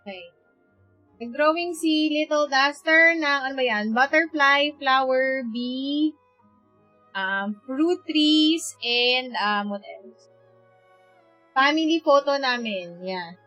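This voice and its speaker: A woman with a Filipino accent, a native Tagalog speaker, chatting casually on a game livestream and thinking aloud with searching pauses. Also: high-pitched, expressive, pushed and bright, dull audio, soft background music. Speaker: live game streamer. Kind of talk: casual livestream chat while gaming.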